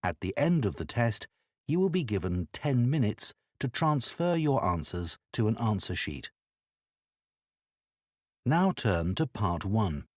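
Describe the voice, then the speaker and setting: A man reading scripted exam instructions as an announcer, in a formal, measured manner. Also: fairly low pitch, very animated, no room sound, clean studio sound.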